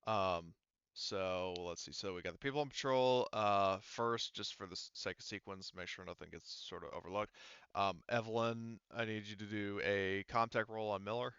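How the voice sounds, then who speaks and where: A man's voice: fairly low pitch, moderate pace, a bit bright, smooth, no room sound, dull audio. A man running a tabletop role-playing game, explaining things casually and hesitantly as he thinks aloud. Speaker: game master.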